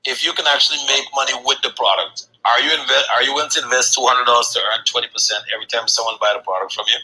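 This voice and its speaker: Brash salesy man, bright forceful voice. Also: medium pitch, fairly even intonation, very fast, low-quality recording. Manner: brash, salesy, teasing, curses casually. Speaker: host of a sales session. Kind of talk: motivational sales talk.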